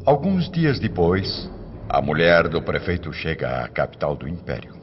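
A man narrating a scripted radio drama in a solemn, measured and expressive way. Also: low-pitched, slight background noise. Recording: dull, band-limited radio recording, music bed.

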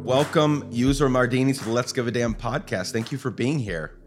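A man's voice: medium pitch, moderate pace, music in the background. A man hosting a podcast, enthusiastic and effusive, often stumbling and restarting his sentences. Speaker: podcast host. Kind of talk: casual podcast interview. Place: Nashville.

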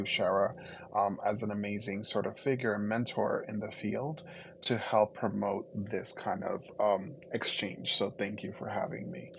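A man from the Dominican Republic, Spanish his first language, speaks in a lowish, gravelly voice. He talks reflectively with many fillers and restarts as an interview guest. Faint noise hums behind him. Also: low-quality recording. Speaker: podcast guest. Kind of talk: conversational interview storytelling.

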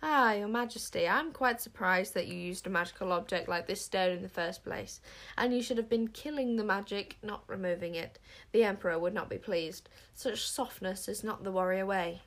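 A woman's voice: animated, takes it slowly, few pauses, breathy edge. Storybook villain: sneering, menacing witch-hunting man, performed by a woman, pompous and fanatical. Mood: condescending, pompous. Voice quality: high, squeaky when hissing. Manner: condescending, snapping, shouting.

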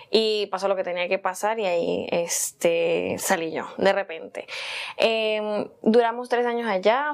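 Chatty young woman. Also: mid-range voice. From Venezuela. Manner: chatty, relaxed storytelling with frequent fillers. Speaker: guest. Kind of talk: casual conversational interview.

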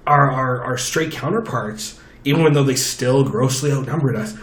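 A man with a loud, booming voice, telling stories animatedly in a casual chat at a table with friends. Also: moderate pace. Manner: chatty, animated storytelling with restarts. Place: table with four people. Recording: roomy echo.

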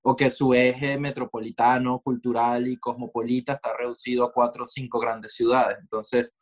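A Venezuelan man talks through his thoughts in an unhurried, meandering way, stopping on fillers and side remarks. He sounds like an interview guest at mid pitch, relaxed and conversational. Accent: Venezuelan Spanish. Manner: reflective, digressive, with many fillers and asides.

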